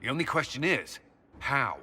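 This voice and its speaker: An actor playing an earnest, grave military commander giving a firm, formal briefing in a video game. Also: male voice, medium pitch, sing-song, normal speed, heavy background noise, lightly acted. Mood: grave, urgent. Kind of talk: video game cutscene acting.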